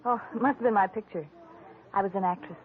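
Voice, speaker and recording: An actress playing a detective's anxious, coaxing wife in 1940s radio drama style, earnest and pleading. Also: female voice, medium pitch, quick pace, a little hiss or hum, natural voice. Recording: tinny, narrow old radio broadcast.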